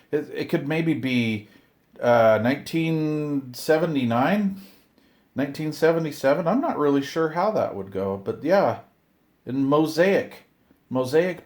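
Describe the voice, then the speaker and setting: A middle-aged or older man chatting casually to viewers while unboxing, excited and rambling, often talking to himself. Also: fairly high pitch, a little slow. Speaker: hobbyist video host. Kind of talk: casual unboxing chat to camera.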